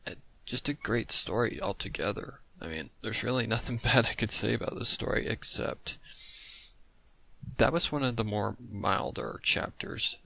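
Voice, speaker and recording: A man's voice: medium pitch, normal speed, gravelly, sharp tone, dry recording. A man giving casual, rambling reaction commentary as the host of a video. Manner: informal, rambling.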